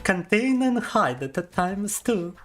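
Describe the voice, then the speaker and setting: A man's voice: high-pitched, sing-song, takes it slowly, a bit bright, soft background music. Playful young man. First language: Russian. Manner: playful and teasing, reads chat aloud and laughs often. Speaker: VTuber streamer. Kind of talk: casual livestream chat.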